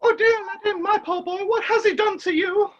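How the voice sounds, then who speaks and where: A woman's voice: very high voice, steady tone, fairly quick, lo-fi sound. A woman playing a bossy, fretful washerwoman mother in a rhyming pantomime, exclaiming in a stagey way. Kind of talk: rhyming amateur pantomime acting.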